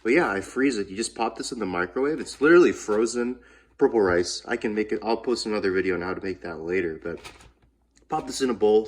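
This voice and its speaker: A man's voice: fairly low pitch, echoey room. A man with a congested voice giving a chatty, casual cooking demonstration in his home kitchen. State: congested from a cold. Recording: clear kitchen noise, frying, clatter.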